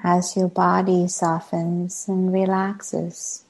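A woman, a native Chinese speaker, leading a guided meditation as a teacher, gently and with long unhurried pauses. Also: on the low side, monotone, creaky, poor audio.

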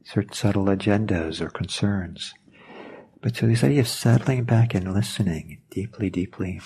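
A man whose first language is Norwegian, giving a reflective meditation talk, pausing and searching for words as he goes. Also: fairly low pitch, creaky, warm tone, a little hiss or hum. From Norway. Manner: thoughtful, hesitant, searching for words.

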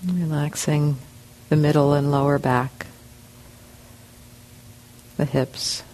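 A woman leads a guided meditation, speaking slowly in short phrases with long pauses. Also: low voice, little pitch movement, dark tone, low-quality recording. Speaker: meditation teacher. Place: meditation center hall.

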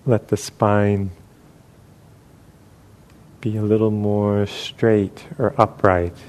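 A man guides a meditation at a very slow pace, leaving long pauses between short phrases. His voice is soft and mellow, sitting on the low side. He sounds like a gentle teacher giving unhurried instruction. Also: level tone.

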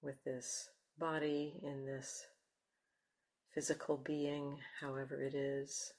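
A woman meditation teacher gently guiding a meditation, unhurried, with long pauses between short phrases. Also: extremely low pitch, flat delivery. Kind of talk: guided meditation. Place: meditation center.

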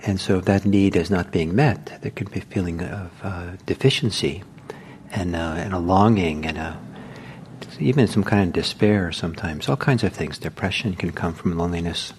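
An older man, originally from Norway and a native Norwegian speaker, giving an informal meditation talk in a gentle, unhurried way with many pauses. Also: low-pitched, a little hiss or hum. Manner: gentle, hesitant, with frequent pauses and fillers. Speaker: meditation teacher. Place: meditation hall.

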